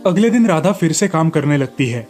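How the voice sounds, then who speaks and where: A man's voice: fairly high pitch, expressive, brisk, darkish tone, soft background music. A man storyteller reading scripted narration for a children's moral tale.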